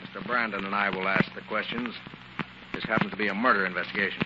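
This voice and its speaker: An actor playing a stern, no-nonsense police inspector, brusque and authoritative, in a 1940s radio drama. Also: male voice, lowish voice, fairly even intonation, fast talker, natural voice. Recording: dull, hissy 1940s radio recording.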